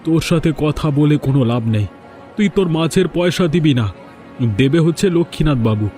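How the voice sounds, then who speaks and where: A man's voice: fairly high pitch, lively intonation, brisk, mellow, soft background music, natural voice. A man voicing an aggrieved village fisherman. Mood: insistent. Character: aggrieved village fisherman and put-upon husband. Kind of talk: Bengali cartoon voice acting.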